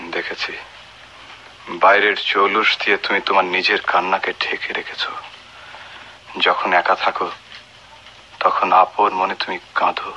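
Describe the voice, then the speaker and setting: An actor with a Bangladeshi accent playing a bold, blunt former freedom fighter, a husky and deliberate speaker in a radio drama. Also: male voice, deep voice, little pitch movement, a little hiss or hum, exaggerated voice. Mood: intense, resolute. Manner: forceful, deliberate. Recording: dull old radio recording.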